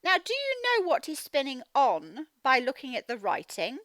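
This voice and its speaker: Animated high-pitched woman teaching young children. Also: moderate pace, no echo. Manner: sounds out words, animated questioning of children. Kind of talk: interactive storybook read-aloud lesson.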